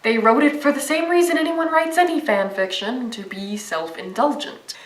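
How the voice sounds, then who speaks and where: A woman's voice: sits high, moderate pace, roomy sound, far from the mic. A young woman narrating a video essay in a casual, sarcastic and profane way.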